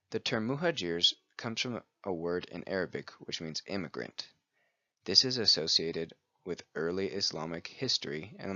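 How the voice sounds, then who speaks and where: A man with a very deep voice and a sharp, forceful edge reads a prepared presentation in an even, steady way. The recording is close and dry, with a muffled, lo-fi quality. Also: dry recording. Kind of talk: scripted read-aloud presentation.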